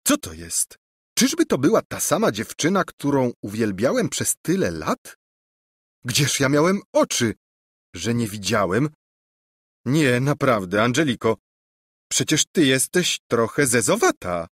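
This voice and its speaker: A man's voice: medium pitch, huge pitch swings, slow pace, husky, no room sound, clean studio sound, heavily acted. A man voicing a mocking young prince in a storybook read aloud. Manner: mocking, laughing.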